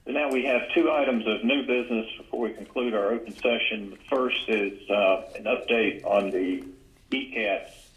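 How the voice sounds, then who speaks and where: A man's voice: lowish voice, moderate pace, a bit bright, rough recording. A man presiding formally as chair over a board meeting held on a conference call. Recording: conference call audio stream.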